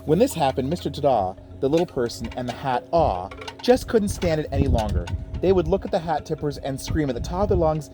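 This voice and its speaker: A man reading a children's picture book aloud in a playful, expressive way, with chatty asides to his young listeners. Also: fairly high pitch, brisk, hardly any pauses, soft background music.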